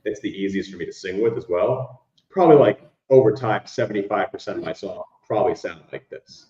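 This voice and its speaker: A young man and musician, a baritone, chatting casually in an interview and rambling with many fillers. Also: a little fast, dark tone. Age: young adult. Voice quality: baritone speaking voice. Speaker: musician guest. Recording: echoey, dull lo-fi room sound.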